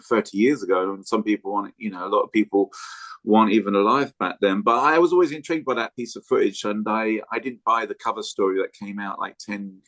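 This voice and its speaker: Low-voiced hesitant man from England. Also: fairly bright tone. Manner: hesitant, many ums and pauses. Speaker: guest speaker. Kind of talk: self-introduction on a video call. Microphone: video call.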